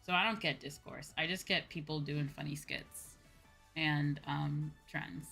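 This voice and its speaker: A woman with a fairly low, bright and forward voice hosts a casual livestream over light music. She rants informally with slang and swearing, quoting her own reactions as she goes. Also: fairly even intonation, unhurried, vocal fry. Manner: informal ranting, slangy and profane. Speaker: VTuber livestream host.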